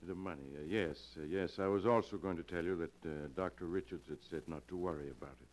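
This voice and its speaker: Actor playing male doctor, low-pitched voice, hesitant, formal, apologetic, old-time radio drama. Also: natural voice. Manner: halting, formal, uneasy. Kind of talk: 1940s radio acting.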